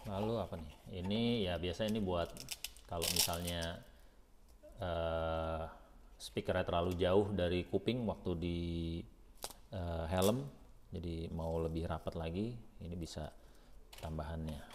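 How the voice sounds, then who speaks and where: A man's voice: deep voice, steady tone, unhurried, long pauses, a little hiss or hum. A man reviews a product in a casual, unscripted vlog style, thinking aloud informally and hesitantly as he goes.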